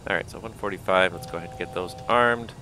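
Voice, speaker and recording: A deep-voiced man talks casually through a flight, reading out checklists and thinking aloud as he goes. His voice is wearing thin, and a steady engine rumble runs under him. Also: fast talker, low-quality recording. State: losing his voice. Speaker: flight simulator pilot. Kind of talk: casual cockpit commentary.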